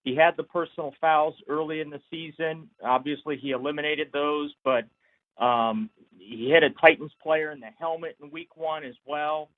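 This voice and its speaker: A man with a slightly high voice asks questions as a sports reporter. He is on a conference call, and the line sounds narrow and phone-like. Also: steady tone, moderate pace. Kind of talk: asking questions on a press call.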